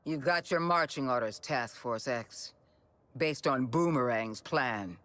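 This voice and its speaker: A man's voice: mid-range voice, slow, fairly bright tone. A man playing a stern, exasperated task force director in a game, dry and authoritative. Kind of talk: video game cutscene acting.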